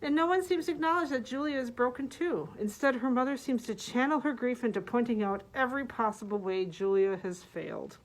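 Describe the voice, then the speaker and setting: A woman's voice: fairly high pitch, a little fast, few pauses, poor audio. A woman librarian recommending books, partly reading their summaries aloud. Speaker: librarian presenting book picks.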